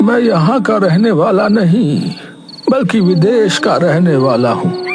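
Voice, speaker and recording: A man voicing a solemn, wise old man in a cartoon, with an earnest, drawn-out delivery. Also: high voice, big pitch swings. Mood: solemn advising. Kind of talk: dramatic Hindi cartoon dialogue.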